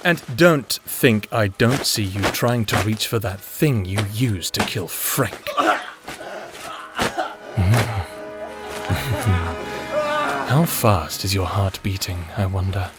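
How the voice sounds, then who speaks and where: An actor playing a vengeful, sadistic necromancer in an audio drama, taunting and gloating in a theatrical way. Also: male voice, on the low side, wildly swooping pitch, extremely slow, long pauses, loud music behind the voice, full character voice. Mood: quiet menace. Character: vengeful male necromancer, manic, gleefully sadistic escaped prisoner. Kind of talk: dramatic audio roleplay acting.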